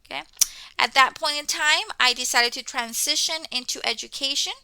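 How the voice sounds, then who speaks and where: Bright, high-voiced woman teaching accounting. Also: moderate pace, no room sound, rough recording. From Mexico. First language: Spanish. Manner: frequent tag "okay?" checks with students. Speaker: accounting instructor. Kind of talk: course welcome video.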